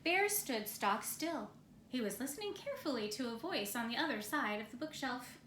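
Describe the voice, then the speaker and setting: A woman's voice: high-pitched, animated, moderate pace, fairly bright tone. A woman narrating a children's story as she reads it from a picture book. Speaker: storybook reader. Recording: roomy echo, faint background noise.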